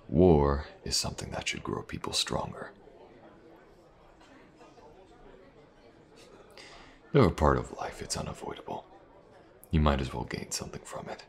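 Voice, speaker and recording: A tough sellsword, acted by a man, speaks in an exceptionally deep voice full of gravel and fry. He is laid-back and musing, murmuring his lines with unhurried pauses. The delivery suits an intimate fantasy audio roleplay. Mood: musing, cautious. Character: tough, easygoing male mercenary warrior for hire. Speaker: voice actor.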